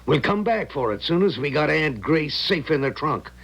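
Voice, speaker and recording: Animated, gravelly small-time crook. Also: male voice, fairly high pitch, normal speed, exaggerated voice. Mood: scheming, commanding. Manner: brusque, slangy, ordering his partner around. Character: bossy, hard-boiled small-time crook, impatient and greedy. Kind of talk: 1970s radio drama acting. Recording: noisy 1970s radio broadcast recording.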